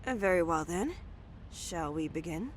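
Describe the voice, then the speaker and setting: A woman playing a caring but firm doctor in an audio drama, speaking formally and gently. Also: lowish voice, lively intonation, normal speed, slight background noise, natural voice, rough recording. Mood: courteous.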